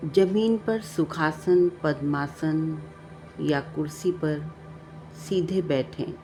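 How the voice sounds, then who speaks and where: A woman with a low voice leads a guided meditation. She speaks very slowly and calmly, leaving long pauses between measured instructions. Music plays behind her. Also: low-quality recording. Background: background music.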